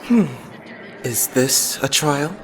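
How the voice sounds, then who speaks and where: A performer voicing a calm, polite, pious young churchman idol in an anime fandub, measured in manner. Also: medium pitch, a crowd in the background.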